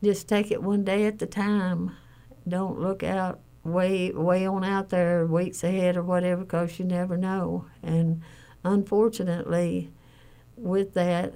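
Low, creaky-voiced woman. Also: warm tone, rough recording. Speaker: family caregiver interviewee. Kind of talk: personal interview recollection.

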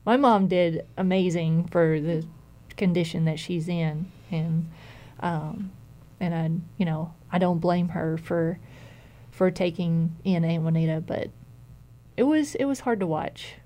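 A woman being interviewed, recalling things conversationally in a halting way, repeating words as she goes. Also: lowish voice, mellow. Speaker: interviewee, caregiver's daughter. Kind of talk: conversational recollection.

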